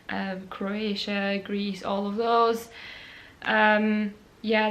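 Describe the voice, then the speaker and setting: Slow-talking Austrian woman, recovering from a cold. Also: medium pitch. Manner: chatty, unhurried, with many ums and repeated words. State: recovering from a cold, voice not fully healed. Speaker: knitting podcast host. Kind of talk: casual chat to camera. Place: home room by a sunny window.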